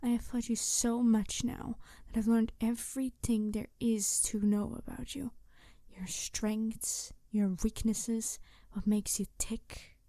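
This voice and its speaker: Woman acting a high-voiced, snarky female supervillain. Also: flat delivery, warm tone, no echo. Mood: smug, gloating. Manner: theatrical, taunting, deliberate, slipping into breathy whispers. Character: snarky, bitter female supervillain gloating over her captive nemesis. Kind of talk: ASMR audio roleplay monologue.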